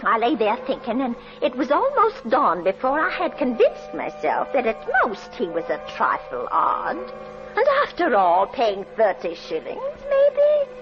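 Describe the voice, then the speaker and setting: A woman's voice: a little high, huge pitch swings, measured pace, over music. An actress playing a nervous, deferential middle-aged landlady in a 1940s radio drama, flustered, stammering and eager to please. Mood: hushed, uneasy narration. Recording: dull 1940s radio recording.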